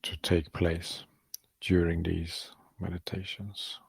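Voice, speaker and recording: A man guides a meditation in a low, creaky voice that barely rises or falls. He talks calmly in short, halting phrases, leaving long gaps between them. The delivery is soft and unhurried. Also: no echo, low-quality recording. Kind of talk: guided meditation, softly spoken instruction.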